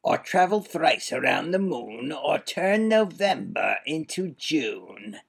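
Guy reading as a cold mocking old duke, gravelly husky voice, drags every word out slow. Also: a little high, very animated, pitched above the performer's own voice, exaggerated voice. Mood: sneering. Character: cold sneering old male villain duke. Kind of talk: audiobook character voice.

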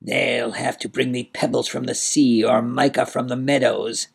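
A man voicing a cold, cruel, raging villain duke in a storybook, theatrical and imperious, with a harsh rasp. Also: mid-range voice, flat delivery, moderate pace, pitched above the performer's own voice. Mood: gloating, scornful. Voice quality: raspy, harsh voice. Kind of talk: dramatised storybook narration.

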